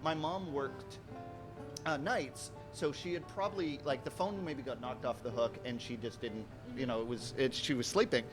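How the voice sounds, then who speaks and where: A man's voice: mid-range voice, normal speed, low-quality recording. A man casually telling a rambling personal story, acting out bits of dialogue, with guitar music playing behind him.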